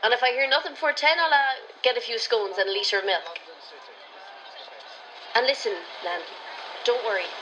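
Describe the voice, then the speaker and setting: A young woman with an Irish accent playing a caring, slightly hurried granddaughter, casual and hesitant, in a radio play voicemail. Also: on the high side, natural voice. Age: young adult. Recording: noisy voicemail with clear background ambience.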